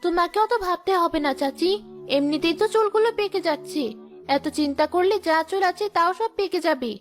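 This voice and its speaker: Bossy, very high-voiced little girl. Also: brisk, soft background music. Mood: cheeky, loyal. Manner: cheeky, scolding like a little housewife. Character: plucky, bossy little girl, grown-up beyond her years. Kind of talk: children's cartoon story acting.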